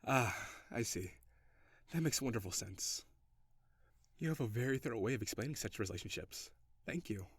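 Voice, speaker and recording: A man's voice: medium pitch, lively intonation, moderate pace, husky, no room sound. A man playing a curious, reserved alien in an intimate audio roleplay, speaking in stiff, formal, precise phrasing. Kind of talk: intimate scripted audio roleplay addressed to the listener.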